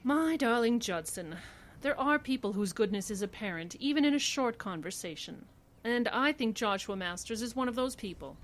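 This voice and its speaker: An actress playing a determined, quick-witted woman lawyer in an old-time radio detective play, assured, articulate and wry. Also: on the high side, expressive, lightly acted. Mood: thoughtful, resolute. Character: determined, idealistic woman defense attorney, affectionate and quick-witted.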